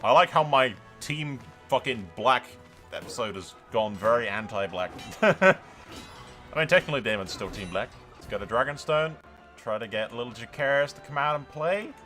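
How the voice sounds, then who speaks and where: A man hosting a game playthrough, commentating in an excitable, sweary, bantering way. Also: mid-range voice, normal speed, soft background music. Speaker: host of a gaming channel. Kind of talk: playthrough commentary with in-character narration.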